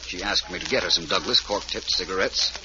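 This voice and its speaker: A man's voice: sits low, fairly quick, close to an everyday voice. A man playing a polite, shrewd private detective in an old-time radio mystery, polished and pointed in his questioning. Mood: brisk, assured. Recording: muffled, noisy old radio recording.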